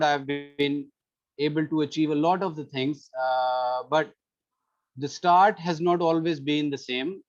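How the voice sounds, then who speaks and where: Man, 30s, from Pakistan, slightly high-pitched, earnest and halting presenter; muffled call audio. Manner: hesitant, filler-heavy, earnest. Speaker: webinar speaker. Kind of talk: webinar presentation. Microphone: computer mic on a video call. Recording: muffled online webinar audio.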